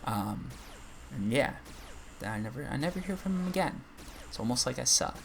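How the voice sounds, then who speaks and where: A man hosting a gaming channel, chatting casually and rambling with self-deprecating asides as he plays, his voice a little raspy. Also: mid-range voice, expressive, moderate pace, a little hiss or hum. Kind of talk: casual gameplay commentary.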